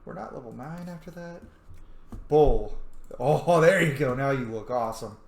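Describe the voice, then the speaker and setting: A man's voice: mid-range voice, normal speed, creaky. A man giving casual commentary as he plays a game, muttering to himself in short fragments. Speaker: gamer narrating a roleplay playthrough. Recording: echoey room, faint background noise.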